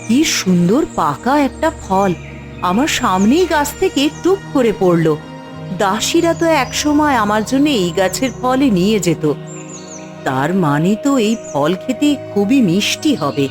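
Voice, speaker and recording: A woman playing a gentle, devoted young queen in a fairy-tale cartoon, speaking softly and courteously with theatrical delivery. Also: mid-range voice, sing-song, unhurried, over music. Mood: delighted.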